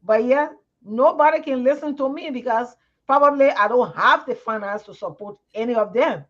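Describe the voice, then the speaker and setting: A Liberian woman with a fairly high voice makes her point on a live TV panel. She talks earnestly and repeats words as she hunts for them. The broadcast audio sounds dull and lo-fi. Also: normal speed. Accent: Liberian English.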